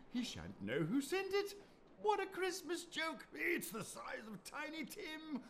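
An actor playing a gleeful, reformed old miser in a radio play, with an exuberant, chuckling delivery. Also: male voice, huge pitch swings, slow, a bit bright, a little hiss or hum, full character voice. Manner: exuberant, chuckling, sweeping pitch. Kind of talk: stage radio play acting.

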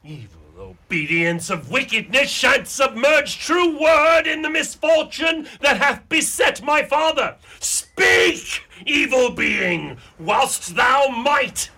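An actor playing a noble, anguished, hot-headed prince, declaiming melodramatically in a radio drama. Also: male voice, extremely high pitch, lively intonation, slow, few pauses, sharp tone, heavily acted. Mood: furious anguish. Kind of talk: mock-Shakespearean radio drama acting.

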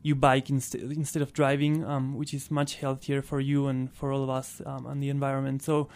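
A man's voice: mid-range voice, monotone, moderate pace, a little mellow. A man from Argentina, a native Spanish speaker with an Argentine accent, talking as a guest in a casual radio interview. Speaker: interview guest, clean transportation programme worker.

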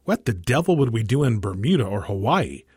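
A man's voice: mid-range voice, big pitch swings, fairly quick, a little mellow, slightly rough, no room sound, clean studio sound. A man voicing a folksy, plain-spoken farmer of sixty-five in a short story, grumbly but game. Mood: grumbling.

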